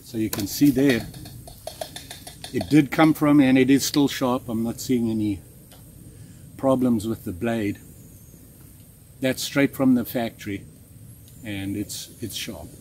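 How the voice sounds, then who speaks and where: A man in his sixties giving a relaxed, chatty hands-on knife review outdoors in the woods, with plenty of fillers and restarts. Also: medium pitch, slow pace, long pauses, a little hiss or hum. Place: outdoors in woods, kneeling in the undergrowth.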